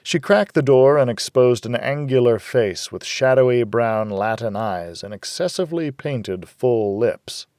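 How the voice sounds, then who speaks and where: A man's voice: mid-range voice, lively intonation, measured pace, few pauses, darkish tone, no room sound, studio quality. A man narrating a hardboiled noir audiobook in the first person, wry and world-weary.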